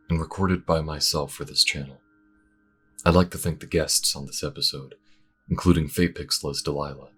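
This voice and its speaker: A man's voice: rumbling low, fairly bright tone. A man who makes audio dramas, reading out scripted credits and thanks to supporters. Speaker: creator and voice actor of the audio drama.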